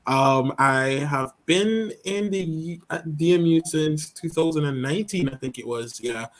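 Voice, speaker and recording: A man's voice: on the high side, a little slow. A young man from Saint Kitts and Nevis, a first-year PhD student, greeting and introducing himself cheerfully.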